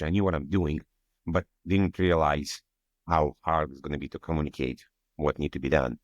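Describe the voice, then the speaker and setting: Russian-accented male guest, very deep voice, dry close sound, earnest, non-native phrasing. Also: dry recording. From Kyiv, Ukraine. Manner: earnest, slightly broken English grammar. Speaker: guest, cybersecurity architect. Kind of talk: interview answers.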